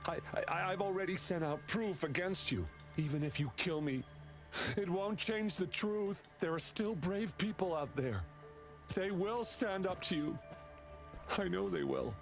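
A man's voice: sits high, a little slow, vocal fry, over music. An actor playing a frightened but defiant young man in a video game, earnest and stammering. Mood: scared, defiant.

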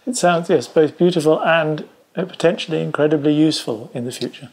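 Higher-voiced man conducting an interview. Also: a little fast, a little mellow, husky. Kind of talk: conversational interview.

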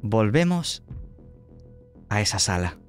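A man's voice: on the low side, sing-song, slow pace, soft background music. A man with an accent from Spain, narrating a suspenseful tabletop roleplaying game as game master. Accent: Spanish from Spain.

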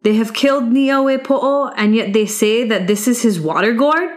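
A woman's voice: a little high, moderate pace, pitched above the performer's own voice, lightly acted. Bold young prince of legend, played by a woman, raised voice, chanting challenges in storybook style. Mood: bold, challenging. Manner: chanting, declamatory calls and questions.

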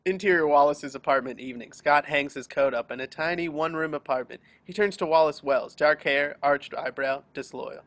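Bright, forceful male narrator. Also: on the high side, brisk, hardly any pauses. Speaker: narrator of stage directions. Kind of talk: scripted narration at a table read. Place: remote group video call.

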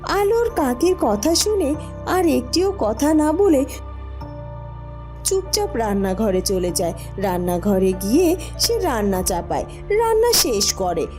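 Story narrator: woman, mellow tone, scripted children's tale delivery, faint music bed. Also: sits high, very animated, slow.

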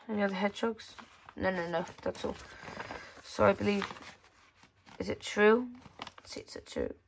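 Woman casually reading aloud, halting delivery. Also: mid-range voice, creaky, a bit bright, a little hiss or hum. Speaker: magazine reviewer. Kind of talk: casual read-aloud walkthrough of a children's magazine. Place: indoors at a tabletop.

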